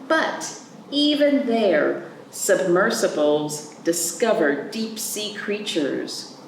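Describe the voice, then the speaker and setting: A woman hosting a children's storytime, reading aloud and chatting in a sing-song, animated way, addressing young listeners directly. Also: fairly low pitch, gravelly. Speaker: library storytime host. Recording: roomy, distant mic, faint background noise.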